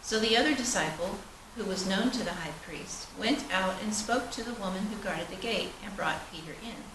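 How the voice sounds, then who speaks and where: A woman reading scripture aloud in a solemn style at a church service. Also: mid-range voice. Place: large indoor church space. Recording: roomy, noisy, distant church recording.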